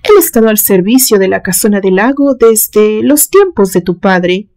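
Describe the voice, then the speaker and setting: Woman reading as a soft, wistful elderly great-aunt. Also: mid-range voice, lively intonation, normal speed, natural voice. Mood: calm, dismissive. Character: elderly great-aunt, melancholic, unhurried, enigmatic. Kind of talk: dramatized storybook reading.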